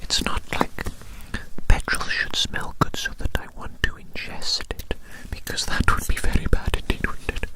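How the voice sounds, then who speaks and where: Casting: ASMR performer as doctor, fully whispered and breathy, playful, repetitive, meandering delivery. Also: normal speed, low-quality recording. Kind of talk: whispered ASMR medical roleplay.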